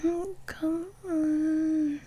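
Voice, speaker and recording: Woman to play drowsy young girlfriend for intimate ASMR audio; very mellow, high, breathy and whispery voice, affectionate, gently bossy, coaxing. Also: level tone. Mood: dreamy sleeptalk. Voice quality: soft, breathy, often whispering. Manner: drowsy, slow, murmuring, coaxing.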